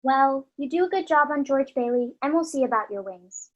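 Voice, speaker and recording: A commanding female superintendent of angels, played by a woman. Her voice is high, her delivery businesslike and authoritative, in the style of an old radio play. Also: animated, normal speed, muffled recording, crowd noise. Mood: brisk, commanding.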